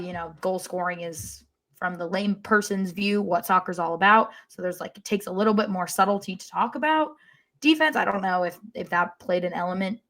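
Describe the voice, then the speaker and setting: Woman chatting on a sports podcast, kinda wry, likes to exaggerate, regular mid pitch. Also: pushed and bright. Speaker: podcast co-host. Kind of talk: casual sports podcast discussion.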